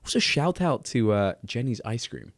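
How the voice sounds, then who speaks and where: A man co-hosting a casual podcast chat, getting over a cold, telling stories in a chatty, animated way and often repeating words as he goes. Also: medium pitch, moderate pace, dry recording, studio quality. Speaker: podcast co-host.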